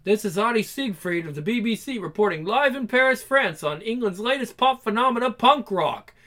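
A man's voice: high-pitched, expressive, moderate pace, sharp tone, pitched above the performer's own voice. A man voicing a pompous older male television reporter with a formal broadcast delivery.

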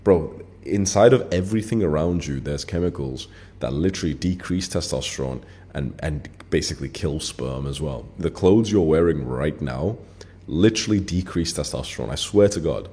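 A young man in his twenties talks to his channel's audience in a deep, mellow voice. He is blunt and emphatic, and he swears casually. His speech runs on loose fillers in an off-the-cuff monologue. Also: animated, moderate pace. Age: 20s. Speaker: channel host. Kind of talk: casual straight-to-camera monologue.

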